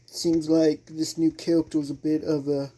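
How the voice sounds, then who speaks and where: A man's voice: a little high, flat delivery, normal speed, warm tone, rough recording. A man giving casual let's play commentary, musing idly to himself. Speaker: game streamer.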